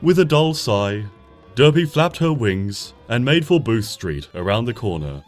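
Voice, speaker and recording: A man narrating a story aloud, expressive, rising brightly and then dropping to a hush. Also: medium pitch. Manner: expressive, swings between bright and hushed. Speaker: fanfiction reader. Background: soft guitar music.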